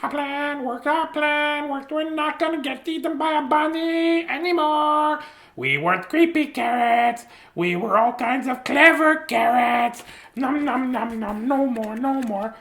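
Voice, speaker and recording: A woman's voice: high voice, unhurried, a bit bright. A woman doing playful picture-book storytelling, acting out lines and repeating phrases.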